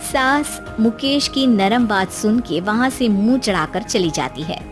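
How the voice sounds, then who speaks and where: A woman's voice: fairly high pitch, expressive, unhurried, over music. A woman narrating a scripted moral story as the storyteller.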